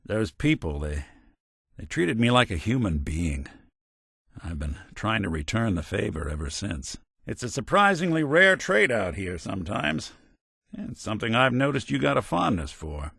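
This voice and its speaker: An actor playing a world-weary, principled robot detective in a video game, with an American accent and wry, plainspoken, hard-boiled old-time talk. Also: male voice, on the low side, big pitch swings, moderate pace, vocal fry, a bit bright, slightly rough, no room sound, studio quality, exaggerated voice. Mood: wry, reflective. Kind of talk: video game character dialogue.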